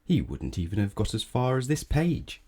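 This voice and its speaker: A man reading a children's story aloud in an expressive, measured storytelling manner, with chatty asides to the listener. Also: mid-range voice, breathy edge. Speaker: storybook reader. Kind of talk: children's read-aloud narration.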